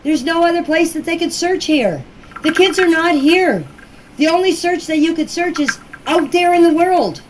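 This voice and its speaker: A woman's voice: very high-pitched, normal speed, a little hiss or hum, rough recording. An older woman answering questions informally in a plain-spoken, insistent, repetitive way, recorded as an amateur voice clip. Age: older, grandmother. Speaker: relative speaking out about a missing children case.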